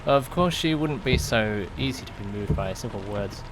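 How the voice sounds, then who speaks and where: A man acts out an awkward young driver telling his own story in an audio drama. His delivery is conversational and musing, easily flustered, with pitch that moves around a lot. Rain and vehicle noise run clearly behind him. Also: lively intonation, normal speed, close to an everyday voice. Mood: musing, uneasy. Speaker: narrator and lead character. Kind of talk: first-person audio drama narration with acted dialogue. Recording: noisy, rain and car rumble behind. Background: rain and vehicle sounds.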